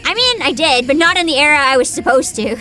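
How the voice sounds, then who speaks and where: A woman's voice: high voice, animated, fairly quick, bright, forceful tone, faint music behind. A young woman streaming as a VTuber, chatting casually with her viewers, giggling often and reading game descriptions aloud. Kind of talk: casual livestream chat.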